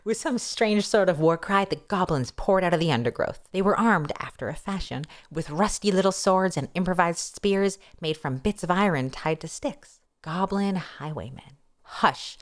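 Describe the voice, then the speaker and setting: A woman narrating a first-person story aloud in an expressive, playful style, with wry casual asides. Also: fairly low pitch, normal speed, hardly any pauses. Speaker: streamer reading a novel aloud.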